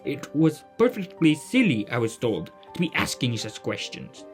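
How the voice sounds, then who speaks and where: A man's voice: mid-range voice, sing-song, normal speed, soft background music, natural voice. A man playing a polite, modest genius mentor in a family audio drama, with formal, hesitant phrasing. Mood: gentle, measured.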